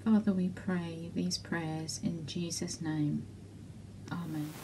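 A woman leading intercessory prayers in a measured way, pausing between short petitions. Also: on the low side, flat delivery, mellow, slight background noise, rough recording. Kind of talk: slow spoken intercessory prayer.

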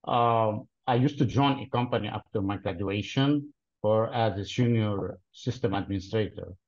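A man in his thirties from Lebanon, answering interview questions in a deliberate, explanatory way with frequent pauses, heard over a video call. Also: mid-range voice. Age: 30s. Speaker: interview guest, cloud solutions architect. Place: plain-walled room, indoors. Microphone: video call webcam. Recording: dull lo-fi video call audio.

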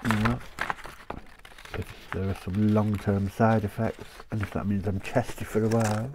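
A man's voice: lowish voice, flat delivery, normal speed, noisy background. A man with a chesty cough, chatting in a rambling way and laughing often while cutting paper at his craft desk. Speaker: craft channel host. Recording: paper crinkling close by.

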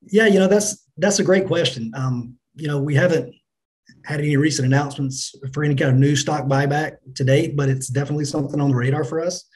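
A middle-aged man answering business interview questions as a company finance chief, in a measured, businesslike manner. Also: fairly high pitch, darkish tone. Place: indoors on a remote video call.

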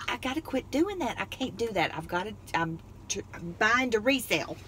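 A woman's voice: on the high side, very animated, normal speed. A woman, chatting casually and rambling about her finds from inside a car. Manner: chatty, rambling, laughs often. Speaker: vlogger. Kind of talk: casual haul chat. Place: parked car interior, then driving. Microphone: phone on dashboard. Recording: noisy car interior.

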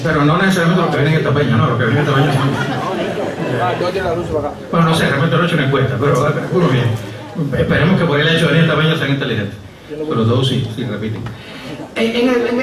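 A middle-aged man with a Cuban accent delivers a deadpan, rambling comic monologue in a slightly raised voice. The sound comes from a distance and rings around the venue, with a crowd audible behind him. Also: a little high. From Cuba. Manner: wry, deadpan, digressive, with many hesitations. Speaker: comedian and writer. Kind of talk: stand-up monologue. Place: outdoor stage at night. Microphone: stage mic on a stand. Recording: echoey live outdoor sound from far off. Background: audience laughing.

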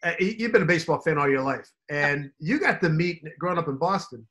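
High-voiced male announcer on a video call. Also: lively intonation, fast, a bit bright. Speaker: co-host, sports announcer. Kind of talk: casual interview.

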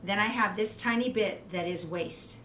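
A middle-aged woman talks through a hands-on craft demonstration in a methodical, instructional way. Her voice sits at an average pitch for a woman. The indoor studio sounds roomy, with a faint to moderate layer of background noise. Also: moderate pace, pushed and bright. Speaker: quilting instructor. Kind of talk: hands-on demonstration, explaining step by step.

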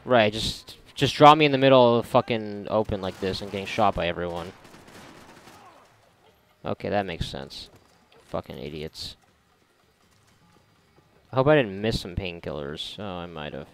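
A man's voice: sits low, animated, normal speed, gravelly, pauses often, fairly bright tone. A man giving casual, sarcastic, profanity-laced gameplay commentary, often muttering to himself. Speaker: gamer doing a playthrough.

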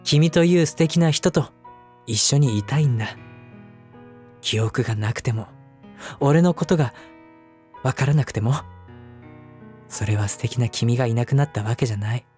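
A man acting as a devoted young husband, speaking gently and intimately to the listener as his wife in an audio drama. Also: mid-range voice, normal speed, pauses often, natural voice. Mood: earnest, pleading. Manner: gentle, affectionate, softly chuckling between phrases. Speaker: voice actor. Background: background music.

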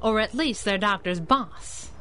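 An actress playing a plucky, wisecracking masked crimefighter in a 1930s-style radio drama, sassy and slangy. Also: female voice, mid-range voice, unhurried, pushed and bright, a little hiss or hum. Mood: breezy, wry.

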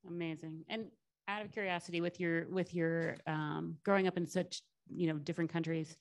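A woman's voice: on the low side, steady tone, normal speed. A woman in her forties moderating a conference chat on stage with a handheld mic, upbeat and encouraging. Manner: upbeat, encouraging, repeats words. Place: conference stage before a live audience.

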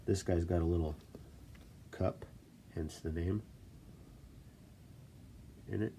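A man with a stuffy voice from a cold, casually explaining stone setting in a relaxed, self-deprecating way at his workshop desk. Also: low voice, takes it slowly, slight background noise. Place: workshop desk, filmed from above.

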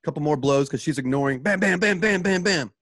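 A man's voice: on the high side, expressive, a little fast, fairly bright tone, husky, no room sound, studio quality. A man directing a radio drama, casually narrating sound cues in an informal way with spoken sound effects.

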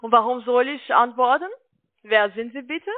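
A woman's voice: a little high, moderate pace, dry recording. A woman who is not a native speaker, talking on the phone in a cheeky, evasive, repetitive way. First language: not German. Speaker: call-centre scammer. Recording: narrow phone-line sound.

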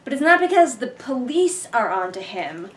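A woman's voice: fairly high pitch, very animated, normal speed, rough recording. A young woman giving casual, sarcastic commentary as she reads along with a book, in an exasperated, informal way. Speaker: book reviewer reading along. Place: living room couch, indoors. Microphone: camera a short distance away.

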